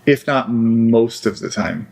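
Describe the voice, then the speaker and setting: A man speaking as a caller in an argument. He questions insistently and keeps pressing his point in a mid-pitched voice. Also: takes it slowly, vocal fry, a little mellow, a little hiss or hum. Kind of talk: phone argument.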